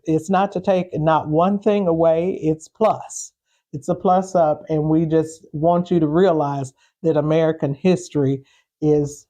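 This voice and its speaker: A woman speaking off the cuff as an interview guest, pausing mid-phrase and repeating words as she goes. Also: low-pitched, mellow. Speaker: interview guest, historical preservation group organizer. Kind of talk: unscripted interview talk.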